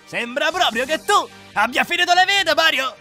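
Shrill, cocky cartoon hedgehog gangster. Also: male voice, animated, moderate pace, pushed and bright, soft background music, full character voice. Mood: taunting. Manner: brash, taunting. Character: cocky, foul-mouthed hedgehog crime boss. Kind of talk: Italian parody cartoon dubbing.

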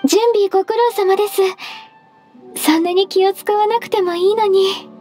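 Casting: gentle immortal half-beast secretary, anime game, extremely high sweet register, polite, unassuming, soft-spoken. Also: female voice, fairly even intonation, normal speed. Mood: gentle, reassuring. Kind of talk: Japanese anime game voice acting. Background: background music.

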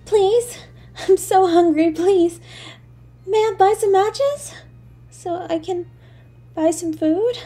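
A woman voicing a frail, frightened little street girl in an audio drama, timid, halting, shivering and tearful. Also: very high voice, dark tone. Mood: pleading. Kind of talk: dramatic audio story monologue.

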